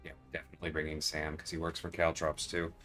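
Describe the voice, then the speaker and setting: A man's voice: a bass voice, completely flat delivery, quick pace, sharp tone, soft background music. A man hosting a livestream, talking casually and explaining things in a conversational way, often checking in with a "right?". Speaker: livestream host and game developer.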